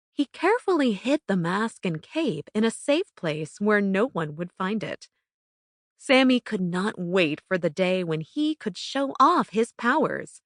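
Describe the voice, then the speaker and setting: A woman narrating a children's bedtime story from a script in an expressive, careful storytelling manner. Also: mid-range voice, dry recording, studio quality. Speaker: audiobook narrator.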